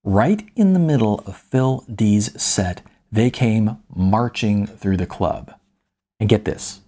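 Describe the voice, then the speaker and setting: A man narrating a first-person story in a wry, colloquial, emphatic storytelling manner, with a slightly husky voice. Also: lowish voice, mellow. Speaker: author reading own novella.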